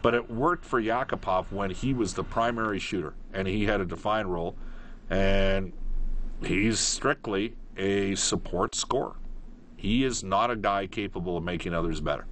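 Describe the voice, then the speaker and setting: Male sports talk host, low register, emphatic and halting delivery, faint background noise. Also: a bit bright. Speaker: sports radio call-in host. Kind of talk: live phone-in sports commentary.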